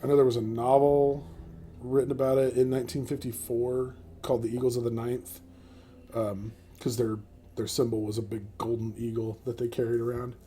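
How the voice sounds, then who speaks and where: Mellow-voiced joking male podcast host. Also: mid-range voice, little pitch movement, moderate pace, slight background noise. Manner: joking, filler-heavy, laughs often. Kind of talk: casual comedic podcast chat.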